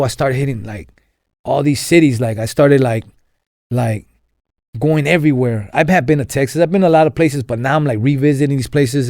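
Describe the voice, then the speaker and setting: Rambling storyteller, warm dark voice. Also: male voice, mid-range voice, no echo, studio quality. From Los Angeles. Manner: rambling, many fillers and restarts. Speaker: podcast guest. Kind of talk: casual storytelling interview.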